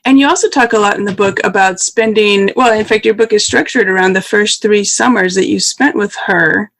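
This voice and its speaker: Hesitant man hosting an interview. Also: high-pitched. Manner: halting, gentle questions with fillers. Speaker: podcast host. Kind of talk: interview questions.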